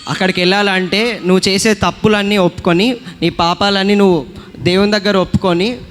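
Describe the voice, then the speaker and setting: Male children's Bible teacher and puppet host: high voice, patient admonishing talk, noisy echoing hall. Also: steady tone, brisk, fairly bright tone. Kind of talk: simple conversational teaching to children. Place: hall at a church children's programme.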